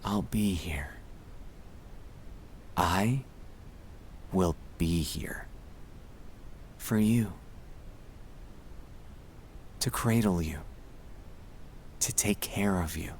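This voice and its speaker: Slow, low-voiced man in gentle ASMR. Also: slight background noise. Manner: gentle, soothing, hushed, reassuring. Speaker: ASMR sleep-aid narrator. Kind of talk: ASMR guided relaxation, scripted comfort monologue.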